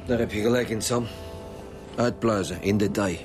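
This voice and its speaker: Actor as a blunt Flemish chief inspector. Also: male voice, on the low side, moderate pace, darkish tone, soft background music, lightly acted. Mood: brisk, determined. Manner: blunt, commanding, interrogating. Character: stern, dogged male chief inspector leading a homicide squad. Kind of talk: radio drama acting.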